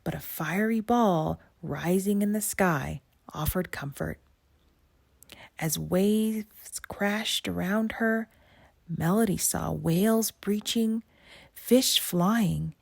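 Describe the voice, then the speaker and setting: A woman reading a children's picture book aloud in an expressive narrating style. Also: mid-range voice, slow, creaky, breathy edge, no echo. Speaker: storybook reader.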